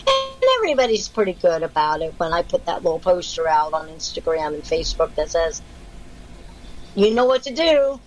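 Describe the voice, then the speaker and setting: A woman speaking as a guest on a video call, telling her story in a rambling, halting way with many fillers. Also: lowish voice, wildly swooping pitch, faint music behind, rough recording. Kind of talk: casual chat.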